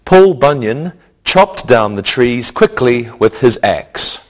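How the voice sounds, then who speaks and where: A man teaching an English grammar lesson in a scripted, deliberate, instructional way, enunciating carefully. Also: medium pitch, sing-song.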